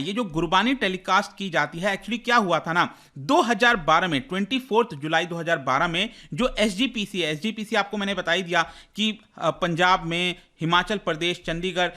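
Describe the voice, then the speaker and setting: A man's voice: high voice, sharp tone. A man giving an explanatory lecture as a teacher, conversational and didactic, restating points and posing rhetorical questions, with English terms mixed in. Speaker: teacher presenting current affairs for exam aspirants.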